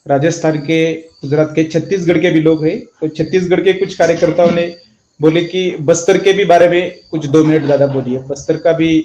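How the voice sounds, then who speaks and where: A man with a fairly high, even voice moderates an online discussion and passes on audience requests. His tone is soft-edged and mellow, in dull, echoey audio. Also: normal speed.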